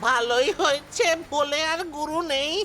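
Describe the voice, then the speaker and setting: An actor voicing a fawning, anxious cartoon sidekick with halting, stammered interjections and drawn-out words. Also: sits high. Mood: worried, deferential. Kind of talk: exaggerated cartoon voice acting. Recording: loud music over the voice.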